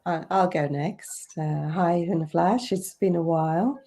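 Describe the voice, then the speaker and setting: A woman's voice: on the low side, steady tone, a little slow, warm tone. A middle-aged woman from India, raised in England, introducing herself casually as a guest in a candid, hesitant way. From born in India, raised in England from age eight. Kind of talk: casual self-introduction in interview.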